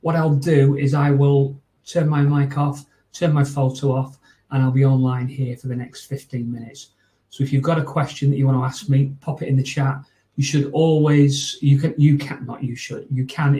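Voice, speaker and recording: Northern English male, 40s, webinar host, warm low-key timbre, casual rambling delivery over a home webcam. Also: poor audio. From north of England. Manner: chatty and informal, with many fillers and restarts. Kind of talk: closing remarks of a training webinar. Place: small room at home. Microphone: video call webcam.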